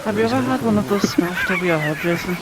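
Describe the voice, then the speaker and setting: A man playing a languid, dryly courteous adventurer in improvised fantasy roleplay, speaking in a measured way. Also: high voice, expressive. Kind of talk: improvised in-character roleplay banter. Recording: hissy, rain noise behind.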